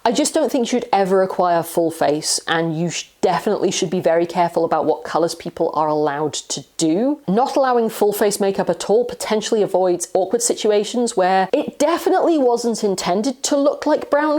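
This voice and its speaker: A woman's voice: on the low side, darkish tone. A woman delivering an opinionated explainer in a blunt, wry way, with exasperated asides. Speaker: video essayist, larper and costumer.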